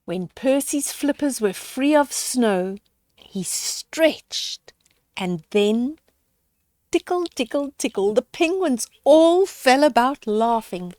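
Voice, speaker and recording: An older woman tells a picture-book story to small children. Her delivery is very animated and unhurried, in a close, dry sound. She often steps out of the text to explain things simply. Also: sits high, no echo. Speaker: storyteller reading children's picture books aloud. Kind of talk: read-aloud children's story with chatty asides to young listeners.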